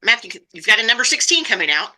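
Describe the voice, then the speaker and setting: A woman's voice: on the high side, very animated, fast, pushed and bright. A woman hosting a podcast, asking questions in a conversational interview style. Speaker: podcast host interviewing an author.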